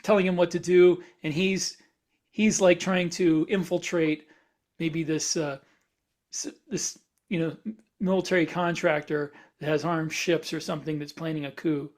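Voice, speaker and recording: Male podcast co-host, fairly high voice, loose brainstorming chat with halting, repeated words. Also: fairly even intonation.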